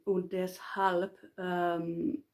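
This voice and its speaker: A woman's voice: on the low side, flat delivery, extremely slow, darkish tone. A woman with a Finnish accent, a native Finnish speaker, talking casually off the cuff as a polyglot vlogger, hesitant and searching for words as she goes.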